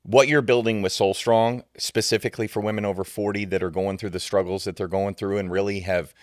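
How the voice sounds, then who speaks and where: Sincere low-voiced man, dry sound. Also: fairly even intonation, brisk, no room sound. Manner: sincere, supportive, repeats words as he starts. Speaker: podcast co-host. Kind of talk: casual podcast chat.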